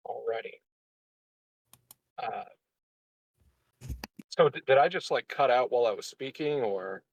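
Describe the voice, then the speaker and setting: A man's voice: medium pitch, expressive, a little slow, recorded from a distance, muffled recording, a little hiss or hum. A man chatting casually as a player on an online tabletop game call.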